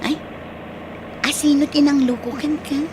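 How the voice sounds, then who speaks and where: A woman's voice: high voice, fairly even intonation, unhurried, background noise. A woman acting in a radio drama, playing a friendly, coy single schoolteacher. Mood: teasing.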